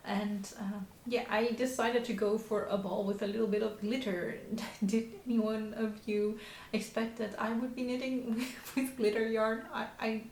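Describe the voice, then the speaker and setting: A woman's voice: on the high side, little pitch movement, normal speed, darkish tone. A woman in her thirties with a Dutch accent, hosting a knitting podcast in a hesitant, casual chat full of fillers and little laughs. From Netherlands. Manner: hesitant, many fillers and restarts, frequent small laughs. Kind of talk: casual show-and-tell chat. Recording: echoey room with faint background hiss.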